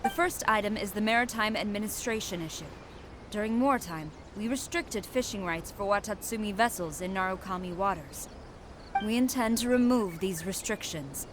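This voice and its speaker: A woman's voice: medium pitch, normal speed, noisy background, natural voice. A woman voicing a stern female commander in a video game, firm and formal.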